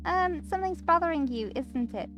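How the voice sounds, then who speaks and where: Girl gamer doing a perky young woman from a visual novel, high and sweet, pushy, teasing. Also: animated, normal speed, fairly bright tone, soft background music. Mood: concerned, sweet. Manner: bubbly, coaxing. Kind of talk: visual novel character acting.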